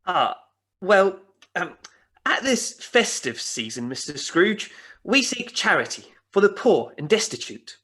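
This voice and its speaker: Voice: medium pitch, sing-song, unhurried, bright, forceful tone. An actor playing an earnest, courteous charity collector in a radio play, polite and slightly hesitant.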